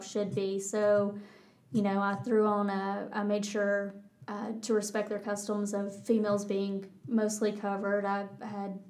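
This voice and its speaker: A middle-aged woman with a Texas accent, a pilot answering interview questions in a measured way, often restarting her sentences. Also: medium pitch. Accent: Texan. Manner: flat, measured, with many restarts. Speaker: pilot guest.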